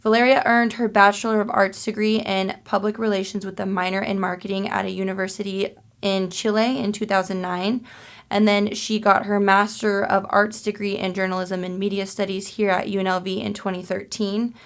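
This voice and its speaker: A young woman hosts a podcast and reads her introduction from a script. Her voice is flat and gravelly with heavy vocal fry, and the delivery stays even throughout. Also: medium pitch, brisk. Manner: even, read-aloud delivery. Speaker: podcast host.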